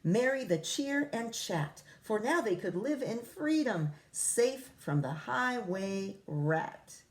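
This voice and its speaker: A woman reading a rhyming picture book to children as their teacher, expressive, with casual explanatory asides. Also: fairly high pitch, slow.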